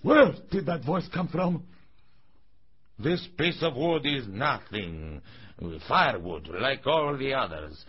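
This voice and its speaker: A man's voice: extremely low pitch, slightly rough, dull audio, voice pushed down, heavily acted. A man voicing an old carpenter in a storybook, muttering to himself hesitantly and easily frightened.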